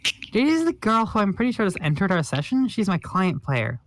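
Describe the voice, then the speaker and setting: A man's voice: sits high, big pitch swings, fairly quick. A man voicing a cheerful, naive teenage boy who asks eager, pleading questions. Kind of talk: comic read-along voice acting.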